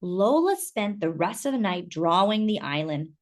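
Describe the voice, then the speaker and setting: Expressive woman reading a picture book. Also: medium pitch, normal speed. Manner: expressive, animated narration with quiet asides. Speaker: storyteller. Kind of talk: picture book read-aloud.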